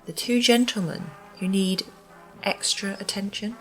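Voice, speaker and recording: A woman's voice: mid-range voice, unhurried, a bit bright, faint music behind, close to an everyday voice, rough recording. A woman playing a prim, officious care home matron with an English accent and formal, pompous manner in an amateur radio play. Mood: formal, condescending.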